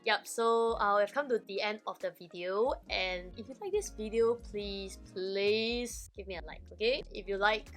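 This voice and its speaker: A woman talks to camera in an easy, chatty way, often pausing to check in with the viewer. Her voice sits at a middle pitch and she sounds playful and a little teacherly. Soft music runs faintly behind her. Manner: chatty, keeps checking in with "okay?". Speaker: language-learning vlogger. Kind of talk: casual vlog chat.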